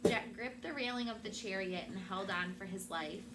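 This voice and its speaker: A woman teacher reads a storybook to young children in expressive, emphatic phrases. She has a medium-pitched voice, and the classroom has clear background noise. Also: normal speed. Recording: noisy room with background ambience.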